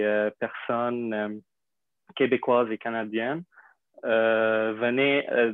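A young man from Syria, a native Arabic speaker, telling his story in an interview with many pauses, fillers and restarts. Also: mid-range voice, no echo. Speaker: interview guest. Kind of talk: hesitant conversational interview. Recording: dry tinny phone-like line.

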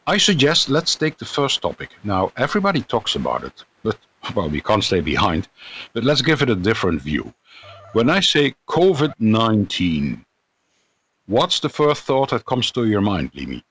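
Radio host, male, very low husky voice, lively intonation, easygoing. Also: normal speed. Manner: relaxed, hesitant with fillers. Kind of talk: casual panel discussion.

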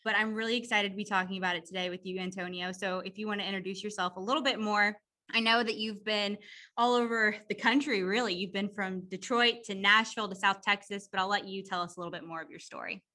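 A woman's voice: mid-range voice, fairly even intonation, quick pace, sharp tone. A young woman in her twenties hosting a podcast interview, upbeat and enthusiastic. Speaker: podcast host. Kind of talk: casual interview.

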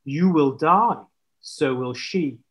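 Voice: medium pitch, lively intonation, slow pace, fairly bright tone. A storyteller voicing a stern, solemn prophet who scolds and warns in a children's Bible story. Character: stern, grave adult male prophet.

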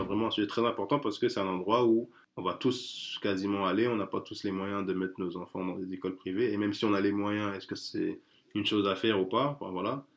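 A man's voice: lowish voice, gravelly. A man chatting casually as an interview guest, hesitant, with many fillers and restarts. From France.

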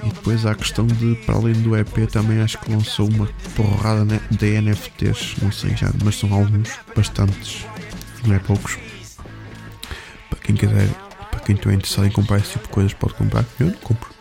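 A man's voice: lowish voice, completely flat delivery, a little fast, vocal fry. A man with a European Portuguese accent hosting a music show, chatting casually in an informal, rambling, slangy way as he introduces songs.

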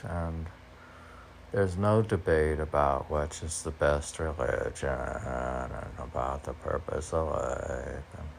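Man, very low slow voice, musing poet, reflective self-talk delivery, some background noise. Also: vocal fry, a little mellow. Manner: musing, talks to himself in questions. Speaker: poet reading own poems. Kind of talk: rambling poetry reading with self-questioning commentary.

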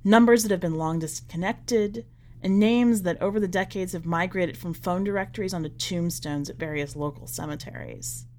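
A woman narrating literary fiction from a script, with measured, deliberate phrasing that falls away at the ends of lines. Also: on the low side, a little mellow. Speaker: podcast editor reading a short story aloud.

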